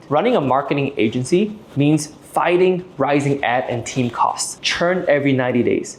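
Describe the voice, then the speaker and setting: Young man pitching business advice. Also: slight background noise. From Malaysia. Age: late 20s to early 30s. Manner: direct, persuasive, frequent checking tags like "right?". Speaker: video host and AI agency owner. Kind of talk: explanatory business pitch to camera.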